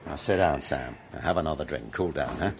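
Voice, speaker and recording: An actor in a radio drama playing a brash, hearty movie director who talks in an expansive, commanding and informal way. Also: male voice, extremely low pitch, brisk, slight background noise. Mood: low-key, serious. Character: brash, hearty male movie director, bossy yet genial.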